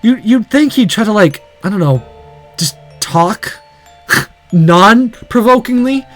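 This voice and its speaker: Male let's player: high, lively voice, dramatic visual novel reading, casual commentary. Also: takes it slowly, soft background music. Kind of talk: dramatic story narration with casual asides.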